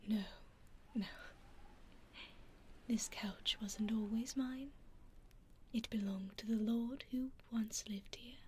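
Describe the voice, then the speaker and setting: British woman doing an ancient vampire lady asmr, breathy whisper right up close, slightly high voice, really unhurried, aloof but kind. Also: fairly even intonation, a little hiss or hum. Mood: coy, aloof. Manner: hushed, unhurried, intimate. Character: centuries-old vampire lady, aloof yet quietly caring. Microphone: close binaural microphone.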